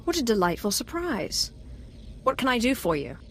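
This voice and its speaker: An actress playing a cool, imperious Sith sorceress in a video game, composed and dryly threatening. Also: female voice, on the high side, sing-song, moderate pace, a bit bright, a little hiss or hum. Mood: cool, superior. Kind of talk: video game character acting.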